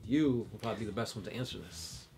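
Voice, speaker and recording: A man's voice: lowish voice, fast talker, mellow, faint music behind. A man co-hosting a casual chat and reading viewer questions, easygoing and thinking aloud with uhs.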